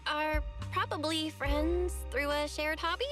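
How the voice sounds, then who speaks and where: An actress voicing a friendly, level-headed young woman in an anime video game, gently mediating between others. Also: sits high, steady tone, measured pace, music in the background. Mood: conciliatory.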